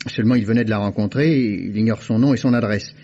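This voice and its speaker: An actor playing a polite, persistent private investigator with a husky voice, in an old radio drama. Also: male voice, fairly low pitch, quick pace, mellow. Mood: earnest. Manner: courteous, eager. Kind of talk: 1950s radio drama acting. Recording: dull old radio broadcast.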